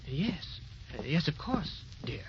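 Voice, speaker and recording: An actor playing a meek, devoted young suitor, polite and hesitant, in old-time radio drama style. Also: male voice, a little high, big pitch swings, slow pace. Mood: bewildered, obliging. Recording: dull, noisy old radio recording.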